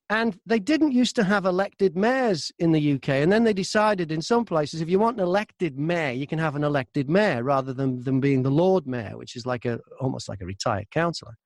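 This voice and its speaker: A man's voice: on the high side, animated, moderate pace, no room sound, studio quality. British man telling stories in an interview. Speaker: audiobook narrator and broadcaster. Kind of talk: casual interview storytelling.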